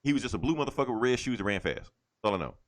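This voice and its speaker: Animated, blunt man chatting casually. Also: mid-range voice, racing. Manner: blunt, irreverent. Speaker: podcast co-host. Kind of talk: casual, profane movie chat.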